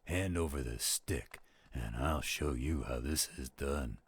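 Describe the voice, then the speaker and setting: A man voicing a theatrical, flirtatious werewolf storyteller in an audio roleplay, with a gravelly, husky voice. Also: extremely low pitch, full character voice. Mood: teasing, playful. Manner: slow, dramatic, playful. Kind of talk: audio roleplay acting with dramatic poem recitation.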